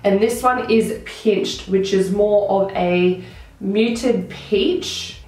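Woman, croaky voice, young adult, makeup vlog chat, very echoey room. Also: medium pitch, darkish tone. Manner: chatty, enthusiastic product talk. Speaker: beauty vlogger. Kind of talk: casual makeup tutorial chat. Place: small room indoors.

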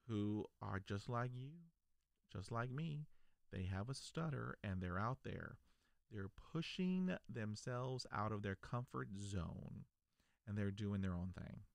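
Guy in his 40s with a stutter, kinda low voice, talking into a podcast mic at home, super dry close sound. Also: measured pace, creaky, no room sound. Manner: stutters with repeated sounds, earnest and encouraging. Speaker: vlogger, podcast host. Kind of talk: personal talk to camera. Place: home studio room, indoors. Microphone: large podcast mic on a boom arm.

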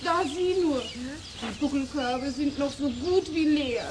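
A woman's voice: high-pitched, takes it slowly, background noise. A woman playing a simple country woman who gathers firewood, in a children's fairy-tale radio play. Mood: plaintive, wistful.

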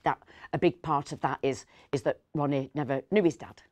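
A woman's voice: low voice, fairly even intonation, fairly quick, a little mellow, natural voice. A middle-aged woman, playing a fussy, kindly, rambling Christmas dinner host in a storytelling monologue. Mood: exasperated, fond. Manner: hesitant, digressive, self-correcting. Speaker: actor reading a story. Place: indoors, seated in an armchair.

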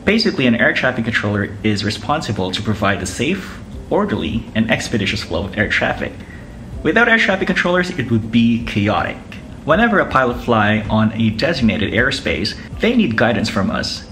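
A man's voice: mid-range voice, big pitch swings, brisk, fairly bright tone, slight background noise. A young man with a Filipino accent explaining things to camera as a vlogger in a living room. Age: young adult. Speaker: vlogger, air traffic controller. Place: small living room, indoors. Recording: music playing behind.

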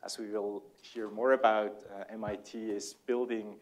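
A man in his forties with a Swiss German accent, a native German speaker, moderating a public panel and introducing speakers courteously, with frequent hesitant pauses. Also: lowish voice, level tone, slight background noise. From Switzerland. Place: large lecture hall before a seated audience, on stage.

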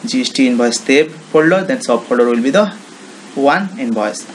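A man with an Indian accent giving an informal software walkthrough, explaining step by step in simple, repetitive phrases. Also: mid-range voice, expressive, moderate pace, a little hiss or hum, poor audio. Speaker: software tutorial presenter. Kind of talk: informal screen-recording walkthrough.

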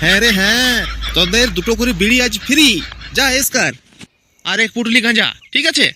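A man's voice: very high voice, a little fast, heavy background noise. A man voicing a bullying, crooked village chief and moneylender in a cartoon, crude and threatening. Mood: gloating. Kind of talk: Bengali cartoon voice acting.

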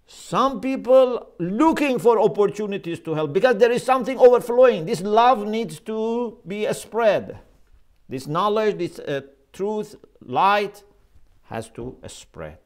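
A middle-aged man gives a religious lecture in an earnest, emphatic manner, speaking into a lapel mic in a small office. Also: sits high. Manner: earnest, emphatic, gestures with his hands. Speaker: Islamic scholar lecturing.

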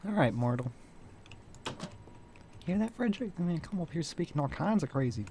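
Sarcastic warlock for game roleplay, male actor: slightly higher voice, bitter, needling. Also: lively intonation, normal speed, vocal fry, warm tone, slight background noise. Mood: threatening. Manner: sardonic, taunting. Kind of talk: amateur game roleplay acting. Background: music.

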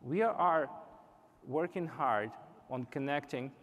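A man's voice: on the high side, slow pace, lo-fi sound. A young man giving a live product demo on a conference stage, explaining earnestly with some restarts. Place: large indoor conference stage. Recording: reverberant conference hall.

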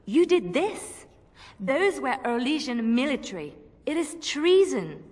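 Breathy, animated young bard. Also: female voice, high-pitched, a little slow, heavily acted. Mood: upset. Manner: earnest, questioning. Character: wounded, earnest young female bard, wary and determined. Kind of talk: video game cutscene acting. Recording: long reverberant hall echo.

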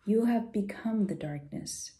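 A woman leading a guided visualization in a soft, gentle, soothing way, unhurried with pauses and at times breathy. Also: medium pitch, animated. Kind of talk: guided meditation, soft slow instruction.

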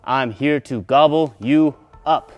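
A man voicing a mean, lumbering bridge troll who speaks in a slow, threatening way for a children's story. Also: mid-range voice, dull audio, faint music behind, lightly acted.

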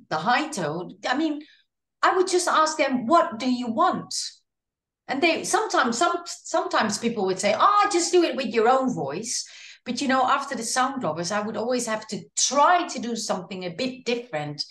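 A woman with a Dutch accent talks over a video call from a room at home, her voice a little high and carried by noticeable room echo. She talks in an animated way with lots of restarts, sounding like a singer in her fifties looking back on her career. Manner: animated, gestures with hands while talking, frequent restarts. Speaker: singer being interviewed. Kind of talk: casual video call interview. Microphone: video call, earphone headset.

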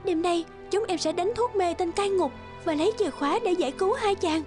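A woman voicing an anguished young princess in an animated fairy tale, urgent and pleading. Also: very high-pitched, a little fast, faint music behind, pitched above the performer's own voice. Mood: distressed, urgent.

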